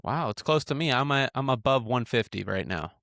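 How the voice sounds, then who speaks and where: Brief: male host in his twenties, mid-pitched, halting and casual, dry close sound. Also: expressive, vocal fry, fairly bright tone, no echo. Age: 20s. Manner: hesitant, with restarts and fillers. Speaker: podcast host. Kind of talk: casual podcast interview.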